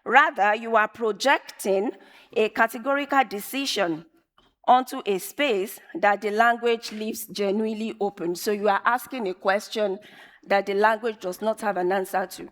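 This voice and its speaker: A woman whose first language is Yoruba, giving a measured, emphatic conference talk and repeating phrases for effect. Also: medium pitch. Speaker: conference speaker. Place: large conference hall. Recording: long reverb of a large hall.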